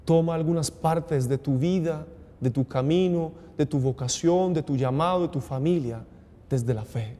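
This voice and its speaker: A man in his forties, a priest speaking solemnly and with measured exhortation at Mass. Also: on the high side, dark tone. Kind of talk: homily and liturgical prayer. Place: small indoor TV studio chapel. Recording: long reverberant hall echo.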